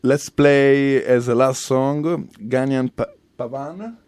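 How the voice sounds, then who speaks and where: Halting radio host, dry sound. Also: male voice, medium pitch, little pitch movement, a little mellow, smooth, no echo. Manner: halting, searching for words, repeats himself. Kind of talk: casual radio chat. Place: radio studio.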